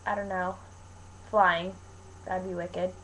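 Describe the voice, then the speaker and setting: A woman's voice: fairly low pitch, flat delivery, slow pace, fairly bright tone, slight background noise, poor audio. A young woman from Canada talking casually to viewers in a rambling, informal way, like a vlogger answering questions. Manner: rambling, informal, colloquial with frequent "like". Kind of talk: casual chat to camera, Q&A vlog.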